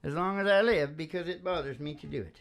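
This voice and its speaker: A man's voice: on the high side, fast talker, slight background noise. An older man chatting casually as a musician hosting a show, rambling and joking in a folksy way and laughing often. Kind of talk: casual chat and storytelling between songs. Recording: live acoustic guitar playing alongside. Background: acoustic guitar.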